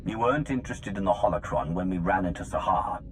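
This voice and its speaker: Very deep-voiced, resolute game hero. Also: male voice, animated, quick pace, soft background music. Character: measured, resolute male space-opera hero and interrogator. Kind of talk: cinematic video game acting.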